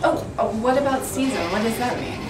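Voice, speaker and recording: A woman's voice: a little high, fairly even intonation, fairly quick, background noise. A woman in her forties hosting a food show, asking eager, enthusiastic questions on location in a cheese shop. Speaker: host. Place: busy cheese shop, indoors. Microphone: camera mic from a distance. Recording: echoey shop recorded from far off with loud crowd.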